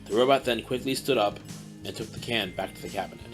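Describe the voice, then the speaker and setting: A man narrating a story in a plain, even storytelling manner. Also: on the low side, fast. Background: guitar music.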